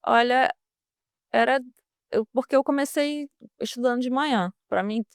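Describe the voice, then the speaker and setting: Smooth-voiced young Brazilian woman, hesitant. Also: on the high side, moderate pace, no room sound, clean studio sound. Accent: Brazilian Portuguese. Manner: hesitant, many restarts and fillers, earnest. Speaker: guest, former student now a merchant navy officer. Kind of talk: casual interview answers.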